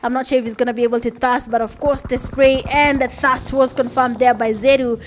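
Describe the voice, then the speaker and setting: Esports caster: young Kenyan woman, high bright voice, chatty live play-by-play, faint hiss on the stream. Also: fairly even intonation, brisk. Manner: chatty, laughs at herself, frequent uh fillers.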